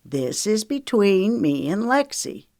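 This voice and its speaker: A woman voicing a smug, menacing man with a slow, self-assured drawl, in an audiobook. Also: on the low side, animated, vocal fry, no echo, clean studio sound, full character voice. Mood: smug, dismissive. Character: smug, menacing predatory man with Southern folksy talk.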